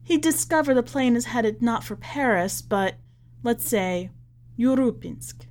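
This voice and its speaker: A woman reading in a measured, expressive way, as a podcast host presenting and narrating short fiction. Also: a little high. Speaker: podcast host and story reader.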